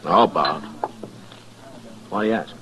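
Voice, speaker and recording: A man's voice: low-pitched, slow, background noise. A man acting a stern, world-weary US Marshal in a 1950s western radio drama, terse, grave and plain-spoken. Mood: easygoing. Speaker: lead actor and first-person narrator. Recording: muffled old radio recording with music.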